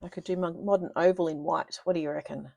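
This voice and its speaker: A woman hosts a casual craft live stream from home. Her voice sits a little low, and she is slightly sniffly. She chats easily, muses over her choices out loud, and laughs often. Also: fairly even intonation, quick pace, creaky, no echo, muffled recording. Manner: chatty, thinking aloud, laughs often. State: sniffly from a cold.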